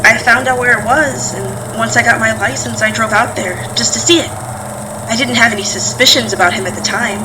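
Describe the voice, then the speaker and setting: A woman's voice: fairly high pitch, fairly even intonation, normal speed, close to an everyday voice. A woman playing a guarded, impatient daughter and sister in a naturalistic audio drama, with terse, prodding, conversational delivery. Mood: uneasy. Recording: distant from mic, clear background noise.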